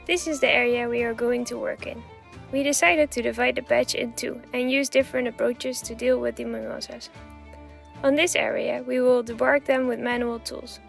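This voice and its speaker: A woman's voice: sits high, vocal fry. A woman hosting a project vlog, narrating and explaining in an upbeat way. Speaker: vlog host.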